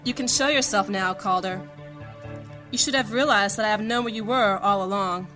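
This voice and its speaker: A woman's voice: medium pitch, moderate pace, sharp tone, faint music behind, natural voice. A woman playing a resolute, commanding mage with formal archaic diction in a fantasy audio drama. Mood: cold defiance. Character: proud, commanding female mage, resolute and incanting spells.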